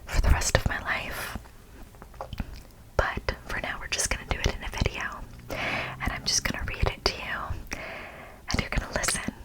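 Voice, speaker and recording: A woman hosting ASMR in close whispers to the microphone, chatting casually and excitedly and often repeating words. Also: normal speed, long pauses, poor audio. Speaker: ASMR video host.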